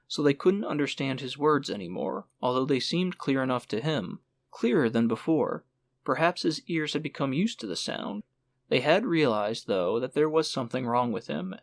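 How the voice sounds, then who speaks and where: A man narrating a literary audiobook in a measured storytelling manner. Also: medium pitch, expressive, creaky, no echo.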